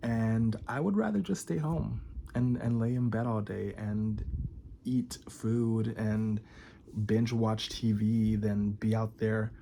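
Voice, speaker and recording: A man's voice: lowish voice, fairly even intonation, takes it slowly, gravelly, mellow, slight background noise. A man in his thirties talking candidly to camera in a rambling, filler-heavy confessional vlog. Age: 30s. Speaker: vlogger.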